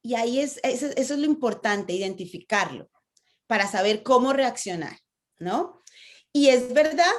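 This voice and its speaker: Latin American woman, slightly high voice, engaging speaking coach. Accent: Latin American Spanish. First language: Spanish. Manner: engaging, asks rhetorical questions, frequent "¿no?". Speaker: speaker on stage fright. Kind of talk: conversational talk with a guest.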